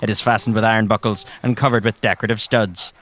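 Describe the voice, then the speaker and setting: A man's voice: on the low side, level tone, quick pace, a bit bright. A man narrates a tabletop adventure dramatically in the second person as game master. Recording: music bed behind the voice. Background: background music.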